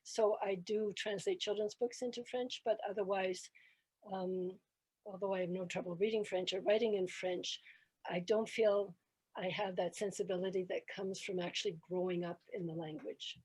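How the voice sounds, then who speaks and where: A woman's voice: mid-range voice, fairly even intonation, normal speed, darkish tone, husky, poor audio. A woman panelist on a video call, a native English speaker, talking in a frank, conversational way. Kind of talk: panel discussion.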